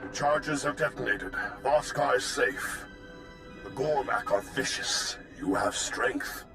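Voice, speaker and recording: A man's voice: mid-range voice, slow pace, music in the background. A man voicing a stern, formal alien commando leader in a video game, speaking in clipped, solemn declarations.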